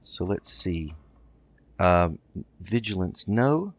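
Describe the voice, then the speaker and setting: A man's voice: low-pitched, very animated, takes it slowly, highs cut off. A man hosting a casual let's play, thinking aloud hesitantly as he goes. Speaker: gaming video host.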